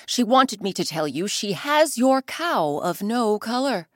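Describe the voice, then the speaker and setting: A woman doing a bold, cheeky messenger from a folk tale. The delivery is sing-song and perky, slow, with pitch rising and falling a lot. The recording is close and dry. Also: very animated, no room sound.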